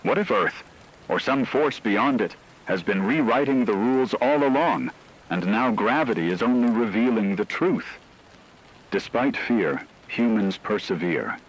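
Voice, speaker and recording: A man delivering dramatic scripted narration in a grave, measured, portentous way with deliberate pauses. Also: lowish voice, lively intonation, slightly rough, rough recording. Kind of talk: dramatic scripted narration in the manner of a radio story.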